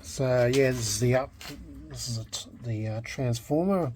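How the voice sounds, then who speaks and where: A man talking unscripted as a hobbyist vlogger, in a halting way, often repeating and rephrasing himself. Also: medium pitch, a little mellow, a little hiss or hum. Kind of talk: unscripted restoration vlog talk.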